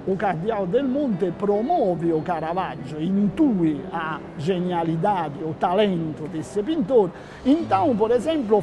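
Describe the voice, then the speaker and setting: High-pitched man explaining slowly. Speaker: art expert interviewee. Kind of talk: explanatory interview talk.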